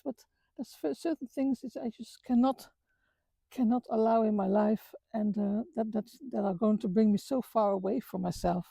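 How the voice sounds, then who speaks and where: A middle-aged woman from the Netherlands with a Dutch accent, talking earnestly about her life with many halting restarts. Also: mid-range voice, creaky, warm tone, breathy edge, dry recording, poor audio. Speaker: vlogger. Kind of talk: reflective personal talk. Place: outdoors on a walk.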